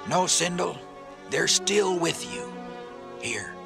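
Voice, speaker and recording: A gruff, earnest old man speaks in a high, very creaky voice. He is plain-spoken and kindly, with music under this storybook drama. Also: expressive, slow pace, exaggerated voice. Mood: tender. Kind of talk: dramatised children's read-along storybook.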